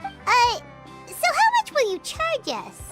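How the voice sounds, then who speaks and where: Super high squeaky little fairy sidekick, bubbly game character, bouncy delivery, music behind. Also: female voice, huge pitch swings, slow pace, full character voice. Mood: wary. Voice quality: squeaky, childlike. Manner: refers to herself in third person, bubbly. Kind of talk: animated video game dialogue.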